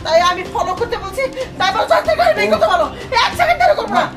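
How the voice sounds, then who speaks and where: A woman's voice: very high-pitched, sing-song, rapid-fire, crowd noise. A woman playing a rich, doting, bossy grandmother in a melodramatic web drama, exclaiming urgently and wailing. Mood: frantic excitement. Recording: distant mic under loud music.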